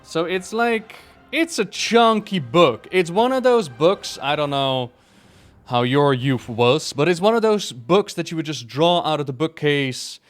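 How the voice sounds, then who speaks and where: A man's voice: on the high side, animated, a little slow, soft background music. A man chatting casually and enthusiastically as he reviews something for viewers, rambling a little and stressing his praise. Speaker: video creator reviewing a book.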